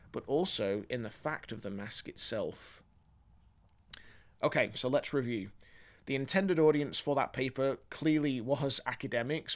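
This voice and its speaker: Articulate man presenting a video essay. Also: medium pitch. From born in England, grew up mostly in Australia. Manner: articulate, measured, academic phrasing. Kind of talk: video essay commentary to viewers.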